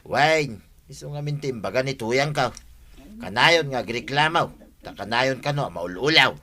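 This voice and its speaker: A man's voice: mid-range voice, lively intonation, moderate pace, pushed and bright. A man acting in an Ilocano radio drama, playing an eager village neighbour. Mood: eager, pleading.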